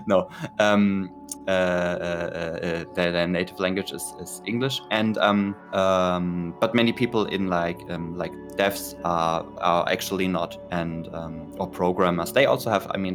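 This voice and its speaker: A German man with a German accent, an indie game developer talking in a casual interview, hesitant and full of fillers and restarts. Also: on the low side. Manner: hesitant, many fillers and restarts, laughs at himself.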